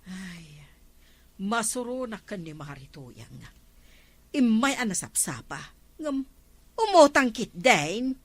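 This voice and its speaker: A woman's voice: medium pitch, big pitch swings, takes it slowly, long pauses, dry recording. A woman with an Ilocano accent playing a talkative, mildly exasperated village neighbour in a comedy drama. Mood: confiding, exasperated.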